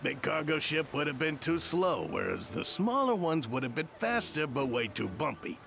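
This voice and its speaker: A man's voice: fairly high pitch, big pitch swings, moderate pace, creaky, fairly bright tone, slight background noise, full character voice. A man playing a cocky, patronizing smuggler boss in a video game. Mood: self-satisfied. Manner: patronizing, self-assured. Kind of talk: video game character acting.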